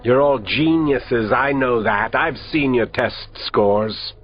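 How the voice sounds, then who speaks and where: A man playing a cold, commanding villain doctor in an audiobook, speaking calmly and with authority. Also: mid-range voice, slow, lightly acted. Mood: cold menace. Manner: calm, authoritative, threatening. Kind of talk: audiobook character reading. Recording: lo-fi audio with faint music.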